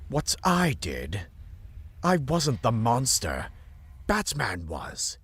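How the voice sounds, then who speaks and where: A man's voice: medium pitch, huge pitch swings, drawn-out words, husky, full character voice. A man playing a deranged, menacing doctor turned scarecrow cultist in an audio drama, theatrical and sinister with a sing-song delivery. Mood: menacing, brooding. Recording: loud music over the voice.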